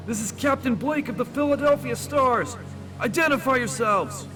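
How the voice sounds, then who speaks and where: A man voicing shouting male rescuers and survivors at sea in an audio drama, loud and urgent. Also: very high voice, moderate pace, over music, pitched above the performer's own voice. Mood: commanding, urgent.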